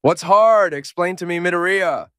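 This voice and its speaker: A man's voice: sits high, normal speed, bright, forceful tone, smooth, no room sound, studio quality, pitched above the performer's own voice. A man voicing a stubborn, protective teenage boy in a fanfiction audiobook, pressing insistently with questions. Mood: demanding. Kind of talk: podfic voice acting.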